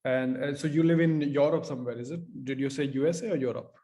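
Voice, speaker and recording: A man's voice: mid-range voice, steady tone, quick pace, mellow. A man from India hosting a casual podcast interview, asking long, rambling questions. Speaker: podcast host.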